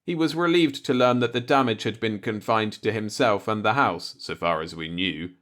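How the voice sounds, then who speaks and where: A man's voice: on the low side, moderate pace, fairly bright tone. A man reading a light-hearted short story aloud as an audiobook narrator, in a scripted storytelling style.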